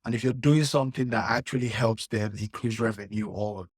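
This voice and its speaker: A man's voice: fairly low pitch, brisk, husky, dry recording, clean studio sound. A young man from Nigeria co-hosting a podcast, talking in an earnest, reflective way with lots of "like" fillers. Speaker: podcast co-host. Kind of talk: casual chat.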